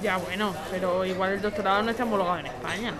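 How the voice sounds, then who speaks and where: A woman's voice: medium pitch, very fast, close to an everyday voice. A woman acting an indignant, snobbish, overqualified jobseeker of thirty-five in an audio drama. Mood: affronted. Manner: indignant, complaining. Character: indignant, snobbish 35-year-old overqualified woman seeking work. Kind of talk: audio fiction podcast acting. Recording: busy bar chatter behind.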